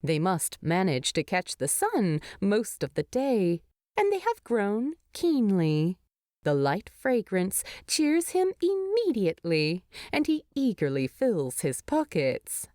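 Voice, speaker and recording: A woman reading scripted story narration in an expressive storytelling style. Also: mid-range voice, takes it slowly, dry recording, clean studio sound. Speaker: fan fiction narrator.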